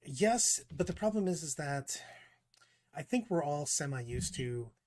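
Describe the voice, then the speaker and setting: A man's voice: on the high side, lively intonation, measured pace, a little mellow. A man co-hosting a casual tabletop gaming discussion, talking emphatically and repeating words as he starts his points. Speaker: podcast co-host. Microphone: studio mic.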